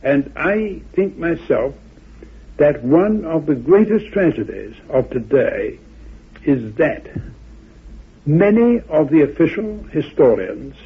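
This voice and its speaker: A man giving reflective spoken commentary in a measured, deliberate way, phrase by phrase. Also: on the high side, very animated, vocal fry, husky. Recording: phone-like, muffled old radio recording.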